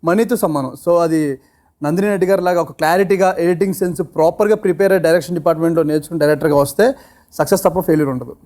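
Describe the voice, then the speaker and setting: A man's voice: on the high side, racing, darkish tone. A man talking casually in an interview, mixing English phrases into his speech. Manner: code-switches into English phrases. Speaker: film team member, interviewee. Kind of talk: casual interview talk about filmmaking.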